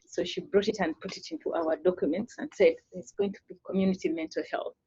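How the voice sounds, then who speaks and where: Husky, gravelly panel speaker. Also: mid-range voice, no echo, lo-fi sound. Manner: halting, many fillers and restarts, trails off quietly. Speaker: mental health official, panelist. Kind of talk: conference panel talk.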